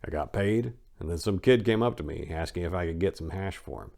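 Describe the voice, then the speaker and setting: A man's voice: sits low, brisk, vocal fry, darkish tone. A man giving a dramatic reading of a first-person story as an audiobook narrator, in a colloquial, salty and emphatic way.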